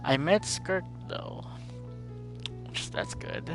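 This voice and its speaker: A man's voice: a little high, slow pace, gravelly. A man giving casual live gameplay commentary as a streamer, rambling and reacting, with game music behind him.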